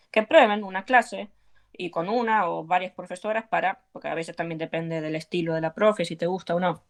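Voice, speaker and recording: Encouraging Argentine woman, lowish voice. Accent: Argentine Spanish. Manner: earnest, encouraging, with frequent fillers. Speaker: belly dance teacher, guest. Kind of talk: conversational interview.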